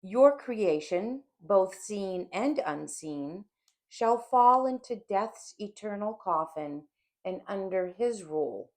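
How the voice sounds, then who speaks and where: A woman's voice: medium pitch, expressive, takes it slowly. A woman voicing a scoffing male devil with grand, theatrical mockery in a dramatic audiobook reading. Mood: gloating menace.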